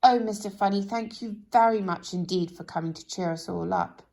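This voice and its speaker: A woman's voice: mid-range voice, moderate pace, creaky, dark tone, close to an everyday voice. A woman voicing an apologetic, grateful male zookeeper in a children's story, speaking earnestly and with emphasis. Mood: amused, grateful.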